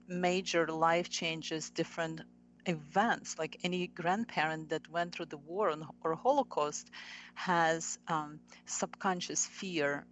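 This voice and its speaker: A woman's voice: fairly low pitch, slight background noise. A middle-aged woman from Ukraine with a Ukrainian accent, speaking earnestly as an interview guest and often restarting her sentences. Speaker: guest, nutrition coach. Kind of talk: casual interview talk.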